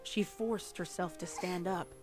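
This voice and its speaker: Low-pitched woman narrating over music. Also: fairly even intonation, measured pace. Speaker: audiobook-style story narrator. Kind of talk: scripted dramatic fiction narration. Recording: music playing under the narration.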